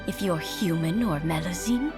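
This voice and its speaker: A woman's voice: lowish voice, normal speed, natural voice. A woman playing a kindly, patient old lady in a video game, speaking tenderly and reflectively. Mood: tender, grateful. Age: older. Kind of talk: scripted video game acting. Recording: music clearly behind the voice.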